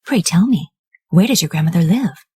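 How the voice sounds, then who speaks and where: A woman voicing a sly, scheming male wolf in a storybook reading, speaking lower and smoothly. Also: expressive, moderate pace, warm tone, dry recording, studio quality.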